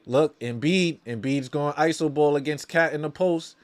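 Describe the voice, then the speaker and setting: A man with a somewhat high-pitched voice hosts a live basketball watch-along. He calls the plays like a devoted fan, in slangy language. He often repeats short phrases to drive a point home. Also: moderate pace. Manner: slangy, partisan fan talk.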